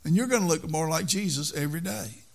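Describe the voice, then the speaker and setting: A man's voice: fairly high pitch, fast talker, vocal fry. An older man preaching a sermon in an earnest way, repeating key phrases for emphasis.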